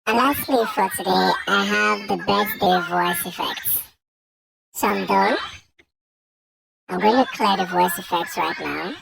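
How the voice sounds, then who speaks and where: A man's voice: a little slow, vocal fry, long pauses, fairly bright tone, heavily acted. A man demonstrating a birthday voice-changer effect that makes him sound like a small child, in noisy, clicky processed audio. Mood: matter-of-fact. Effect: high-pitched birthday voice-changer filter. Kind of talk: software demonstration.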